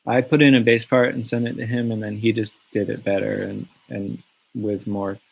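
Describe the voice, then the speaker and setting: A man chatting casually from his home on a livestream, answering fan questions in a relaxed, rambling way with many fillers and restarts. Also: lowish voice, normal speed, vocal fry, husky, rough recording. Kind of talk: casual live Q&A. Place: home studio in the countryside. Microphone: webcam or phone on a livestream.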